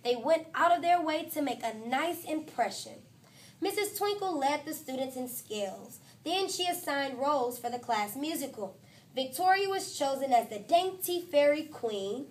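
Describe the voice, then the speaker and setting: High-voiced woman teacher reading aloud. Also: moderate pace. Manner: expressive, voices the characters and talks to listeners. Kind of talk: children's storybook read-aloud.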